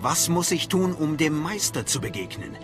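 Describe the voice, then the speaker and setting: A man playing a determined, eager dark acolyte in a video game, speaking directly, confidently and formally. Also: on the high side, big pitch swings, moderate pace, music in the background. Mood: determined, inquiring. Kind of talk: dramatic video game voice acting in German.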